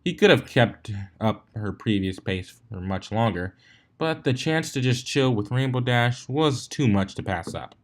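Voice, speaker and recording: A man's voice: medium pitch, expressive, measured pace. A man reading fan fiction aloud as a storybook narrator, in an amateur way with occasional stumbles and retries.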